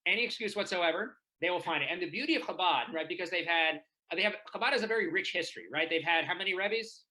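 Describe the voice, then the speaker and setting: A man's voice: high voice, fast, pushed and bright, rough recording. A man from Israel doing a chatty stand-up set from his home over a video call, constantly checking in with the audience. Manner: chatty, keeps asking the audience "right?". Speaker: stand-up comedian.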